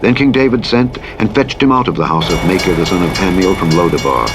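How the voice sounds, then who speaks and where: A man reads scripture solemnly as a narrator, in a measured, formal delivery. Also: deep voice, faint music behind. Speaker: Bible audiobook narrator. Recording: clear engine and vehicle noise. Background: truck simulator game driving sounds.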